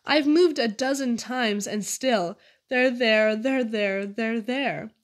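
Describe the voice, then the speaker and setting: A woman reads children's verse expressively. Her voice sits high for a woman, and she goes slowly through each line. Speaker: poetry reader. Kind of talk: expressive children's poetry reading.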